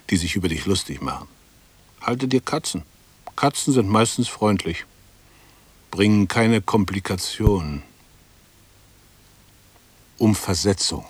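An actor playing an embittered, dutiful bank employee in a radio play, brooding in clipped, self-justifying fragments. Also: male voice, low voice, husky, dry recording, exaggerated voice. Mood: bitter, resolved. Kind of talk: interior monologue in a 1960s German radio play.

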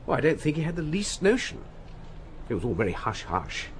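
Lively, sardonic upper-class English prodigal son. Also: male voice, fairly high pitch, brisk, slight background noise. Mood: airy, candid. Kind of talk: radio drama acting.

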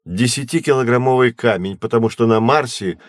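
An actor playing a patient, knowledgeable teacher in an educational audio drama, explaining in measured, clear phrases. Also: male voice, medium pitch, no echo, lightly acted. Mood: explanatory. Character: patient, knowledgeable male astronomy teacher guiding children.

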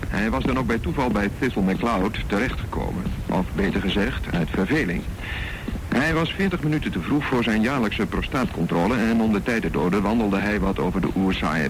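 A man narrating a radio drama in a measured, wry storytelling manner. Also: lowish voice, background noise.

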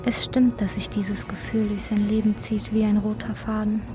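Casting: female narrator, medium pitch, measured literary delivery, introspective, soft music underneath. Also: flat delivery. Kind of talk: literary scripted narration in a radio drama.

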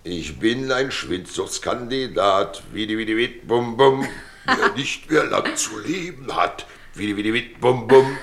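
An actor playing a jovial middle-aged ex-actor innkeeper in a German radio play, declamatory, pompous and playful. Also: male voice, fairly low pitch, animated, measured pace, creaky, fairly bright tone, full character voice.

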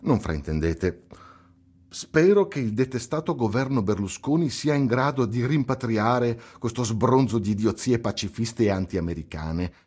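An actor playing a sarcastic, scornful newspaper columnist in a theatrical radio recital. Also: male voice, mid-range voice, expressive, normal speed, a little mellow, slightly rough. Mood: derisive. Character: sneering, sarcastic male newspaper columnist mocking a captive.

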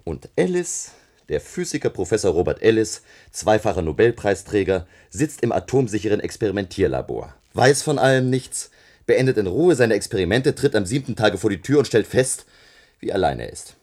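An actor playing a brusque, impatient army colonel in a German radio play, speaking emphatically and curtly. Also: male voice, fairly low pitch, very animated, moderate pace, a little mellow. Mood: agitated, alarmed. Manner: emphatic, curt, swearing, commanding.

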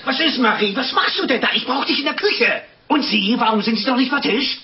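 An actor playing a brusque, bossy husband who runs an inn, in a German radio play. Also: male voice, extremely high pitch, animated, brisk. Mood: brusque, demanding. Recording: dull old radio recording.

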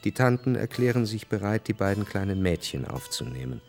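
A man's voice: lowish voice, big pitch swings, a little fast, darkish tone, faint music behind. A man giving scripted narration as the narrator of a historical radio drama.

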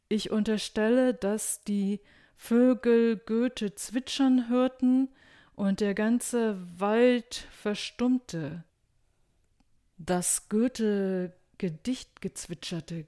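A woman improvising a spoken-word performance, deliberately repeating and playing with her words. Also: mid-range voice, mellow. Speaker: poet.

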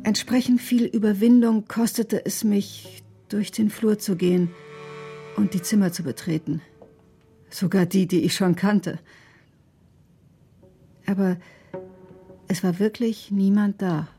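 An earnest woman doctor played by an actress recounts events defensively in a radio play. Her voice is of middle pitch, and soft music runs faintly behind it. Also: measured pace, pauses often, lightly acted. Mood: defensive recounting. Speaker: doctor, first-person narrator. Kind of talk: radio drama narration and dialogue.

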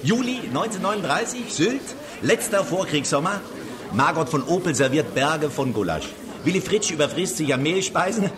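An actor playing a boisterous film-world guest who tells old anecdotes with theatrical, mocking relish in a radio play. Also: male voice, a little high, normal speed. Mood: exuberant, nostalgic. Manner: theatrical, exuberant, mocking storyteller. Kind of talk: radio drama acting. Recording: lively crowd chatter behind.

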